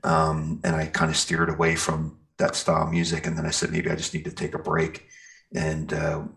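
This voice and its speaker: Middle-aged American male musician, very low gravelly voice, loose conversational interview answers, often searching for words. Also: completely flat delivery, normal speed, a bit bright. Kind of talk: casual interview chat.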